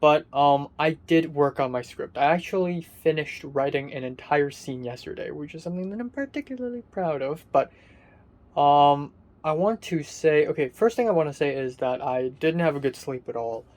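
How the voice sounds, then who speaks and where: Young man, raspy muffled voice, hesitant rambling, lots of ums, slightly high pitch. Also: animated, normal speed, a little mellow. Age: young adult. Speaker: vlogger. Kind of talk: casual diary vlog.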